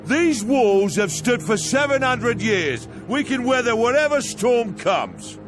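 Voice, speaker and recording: An actor playing a defiant, excitable soldier in a fantasy video game, speaking in a fervent, declamatory way. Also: male voice, very high-pitched, slow pace, heavily acted. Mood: proud relief. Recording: music clearly behind the voice. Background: game music.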